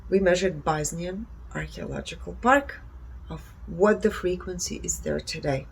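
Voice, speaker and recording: A woman speaks in a fairly low voice with a marked creak. She presents readings and reflections earnestly, in short emphatic phrases, over faint background ambience. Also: unhurried, slight background noise, poor audio. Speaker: presenter reporting energy readings. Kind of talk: presentation reading out findings with personal commentary.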